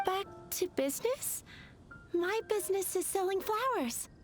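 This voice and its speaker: A woman's voice: extremely high pitch, expressive, unhurried. A woman playing a timid, sweet young flower seller in a video game, nervous and hesitant. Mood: polite. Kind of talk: video game character acting. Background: game music.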